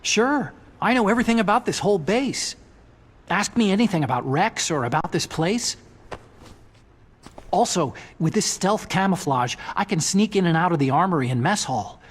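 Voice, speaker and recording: An actor playing an earnest, nerdy robotics scientist in a video game cutscene, with a boyish, eager manner. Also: male voice, high voice, lively intonation, moderate pace, husky. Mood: eager, helpful.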